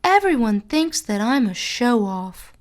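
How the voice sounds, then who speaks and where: A young schoolgirl speaks in a small, raised voice, soft and tearful as she pleads. A man narrating a storybook acts her out, slow and careful. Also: very high-pitched, sing-song, pitched above the performer's own voice, full character voice. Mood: sobbing. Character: sad sporty young schoolgirl.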